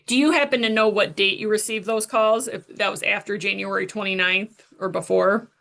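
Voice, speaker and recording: A woman's voice: mid-range voice, steady tone, normal speed, vocal fry, a bit bright. A woman working as a prosecuting attorney, questioning a witness formally and step by step in court.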